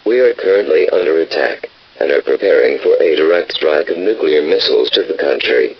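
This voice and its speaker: A man's voice: rumbling low, animated, fairly quick. A man playing a grim station announcer who reads a formal, official emergency bulletin on the radio. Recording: dull lo-fi radio with hiss.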